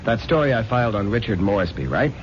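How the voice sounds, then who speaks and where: A man's voice: fairly low pitch, sing-song, quick pace, slight background noise. An actor playing an earnest newspaper reporter pleading his case to his editor in a radio drama. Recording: lo-fi old radio recording.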